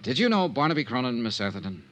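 Hard-boiled detective, lowish forceful voice, lively inflection, clipped wry delivery, radio serial. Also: male voice, fast. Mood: probing. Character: tough, wry male insurance investigator narrating his case. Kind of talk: 1950s radio detective acting and narration.

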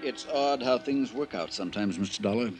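An actor playing a calm, thoughtful small-town doctor in an old radio mystery, speaking in a measured, explanatory way. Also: male voice, medium pitch, over music, close to an everyday voice. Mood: calm, reflective.

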